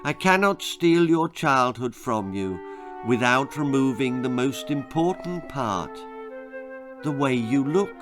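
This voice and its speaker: A man plays an ancient, hooded stealer of childhood from a storybook. The voice is soft and low, each word laid out slowly and with quiet menace. Music plays along. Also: expressive. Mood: grave menace. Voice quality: soft, hushed.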